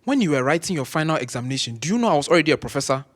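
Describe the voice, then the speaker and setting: An actor with a Ghanaian accent playing an arrogant senior medical professor who scolds in grand, wordy language. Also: male voice, a little high, very animated, rapid-fire, fairly bright tone. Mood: contemptuous. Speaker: voice actor in an audio drama. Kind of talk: scripted audio drama acting.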